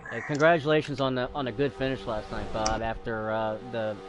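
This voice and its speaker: A man's voice: brisk, over loud music. A man hosting a sim race broadcast with playful, teasing banter. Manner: playful, teasing, pitch jumps when excited. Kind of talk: casual race commentary.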